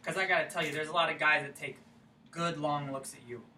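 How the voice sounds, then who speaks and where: A woman's voice: low voice, fairly even intonation, fast, bright, forceful tone. A woman acting a persistent, teasing small-town suitor in a staged play reading, insistent, folksy and casual. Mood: reassuring. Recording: roomy, distant microphone, faint noise.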